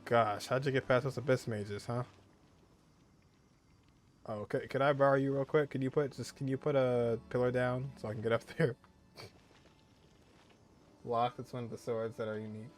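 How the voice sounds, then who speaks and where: A man's voice: medium pitch, steady tone, moderate pace, pauses often. A man doing casual let's-play commentary, offhand and jokey, half talking to himself while he plays. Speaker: gaming streamer. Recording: game music behind the voice.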